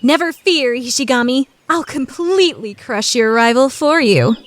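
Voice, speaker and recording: A woman voicing a prim, calculating high school girl in an anime fan radio play, with polite, formal diction. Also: lively intonation, normal speed. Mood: smugly assured.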